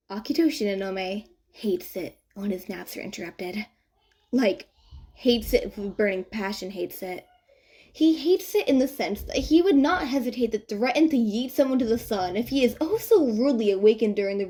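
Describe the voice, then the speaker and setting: Expressive woman narrating a story. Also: fairly high pitch. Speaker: audiobook narrator of fan fiction.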